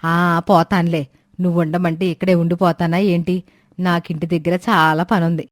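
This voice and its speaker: A woman's voice: fairly low pitch, little pitch movement, normal speed, dry recording, clean studio sound, voice pushed down, lightly acted. A woman voicing a complaining, demanding old village woman customer in a storybook tale. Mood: resentful. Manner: complaining, argumentative. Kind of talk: acted dialogue in a moral fairy tale.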